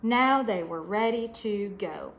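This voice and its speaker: Animated, slow-paced woman reading to children. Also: medium pitch, a little hiss or hum. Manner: expressive, dramatic gasps and questions to listeners. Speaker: storyteller reading a picture book to children. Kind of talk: read-aloud story time with asides to young children.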